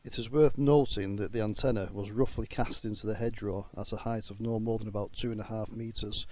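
A man's voice: on the low side, fairly even intonation, fairly quick, warm tone, no room sound. A man who works as an amateur radio operator, narrating and making contacts on air. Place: outdoors at a farm field station.

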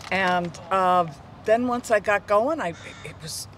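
A woman's voice: mid-range voice, a little slow, a bit bright. A woman over sixty being interviewed casually, speaking emphatically and repeating her words. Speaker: interviewee, amateur rider. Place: outdoors at a rest stop. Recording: faint outdoor urban noise.

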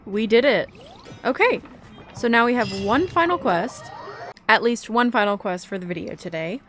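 A woman's voice: fairly high pitch, expressive, moderate pace, faint music behind. A young woman giving casual, chatty let's play commentary as a gaming host, sounding tired.

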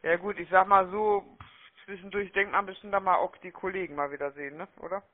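High-pitched man chatting on the phone. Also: steady tone, quick pace. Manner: chummy, wordy, often tags sentences with questioning "ne?" or "oder?". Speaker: phone sales agent from a call centre. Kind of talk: chatty, unscripted sales phone call. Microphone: telephone line. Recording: muffled, narrow-band phone-call audio.

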